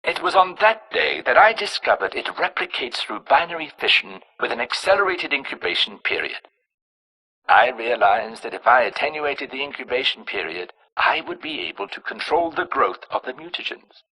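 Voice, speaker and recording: An actor playing a cold, arrogant scientist in a video game, narrating with clinical precision in a raspy, hard-edged voice. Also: male voice, medium pitch, sing-song, moderate pace, bright, forceful tone, full character voice, poor audio. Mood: proud. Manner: clinical, precise, self-important. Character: cold, arrogant male scientist engineering plagues and alien pathogens.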